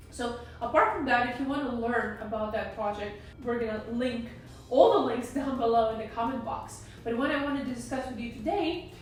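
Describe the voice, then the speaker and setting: A woman, raspy from singing, chatting casually and emphatically to camera as a psychologist vlogger. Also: on the high side, far from the mic. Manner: chatty, emphatic, many asides. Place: indoors at home, sunny room. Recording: very echoey room, mic set back.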